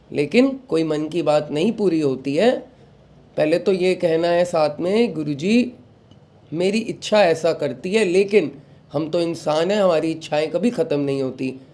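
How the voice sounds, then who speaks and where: A young man, recovering from a sore throat, hosting a devotional talk and giving calm advice to followers while mixing in English phrases. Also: fairly high pitch. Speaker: satsang host.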